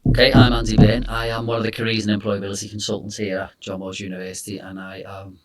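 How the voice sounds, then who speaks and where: Middle-aged English man, low voice. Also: flat delivery, quick pace, over a noisy crowd. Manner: thoughtful, hedging with "you know" and "I suppose". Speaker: careers consultant. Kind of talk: relaxed conversational interview.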